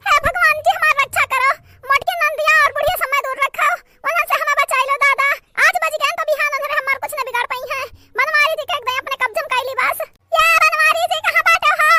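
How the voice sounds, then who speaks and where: A performer voicing a melodramatic cartoon village woman, scolding and pleading in a shrill childlike voice with an Awadhi accent. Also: pushed and bright, dry recording, exaggerated voice.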